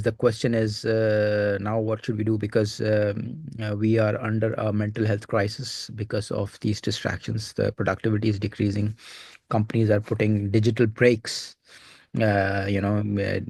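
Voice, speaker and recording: Host, man, Indian accent, lowish voice, dry close sound, hesitant delivery full of fillers. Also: darkish tone, no room sound. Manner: halting, many filler sounds. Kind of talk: recorded interview conversation.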